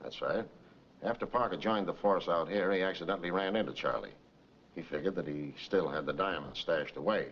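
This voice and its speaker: A man's voice: sits low, a little fast, a bit bright. An actor playing an authoritative, matter-of-fact male police captain in a 1940s crime film. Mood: explanatory. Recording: dull, noisy 1940s film soundtrack.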